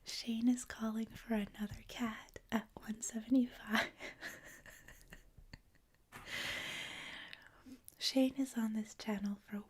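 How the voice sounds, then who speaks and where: A woman with a Canadian accent, a native French speaker, hosting a livestream in a hushed, whispery ASMR style, chatting casually with viewers. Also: a little high, slightly rough. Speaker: livestream host. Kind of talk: soft-spoken ASMR, casual chat with viewers.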